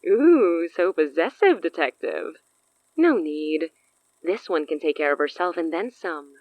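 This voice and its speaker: A cocky supernatural villainess from a horror audio drama. Her voice is very low for a woman, close and dry. She talks in a smug, coy way, teasing as she offers her bargains. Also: lively intonation, unhurried, no room sound, highs cut off.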